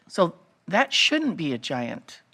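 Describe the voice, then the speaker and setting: A woman's voice: fairly low pitch, wildly swooping pitch, takes it slowly, sharp tone. A woman city councilor asking probing questions in a council meeting. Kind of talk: meeting discussion.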